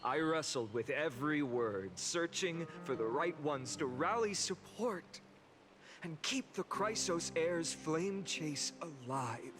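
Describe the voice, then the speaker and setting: An actor playing a noble, earnest young hero in a video game, with measured, formal delivery. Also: male voice, a little high, animated. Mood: reflective. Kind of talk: scripted video game acting. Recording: game music behind the voice.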